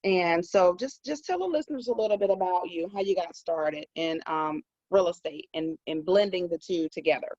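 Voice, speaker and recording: A woman's voice: lowish voice, moderate pace, hardly any pauses, a little mellow, no echo. A woman hosting a podcast interview, encouraging her guest with short affirmations. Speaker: podcast host. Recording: dry, dull lo-fi sound.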